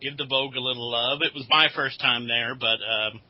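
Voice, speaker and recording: A man's voice: medium pitch, fairly even intonation, a little fast. A man on a podcast panel, chatting casually and telling anecdotes. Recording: slightly dull lo-fi audio.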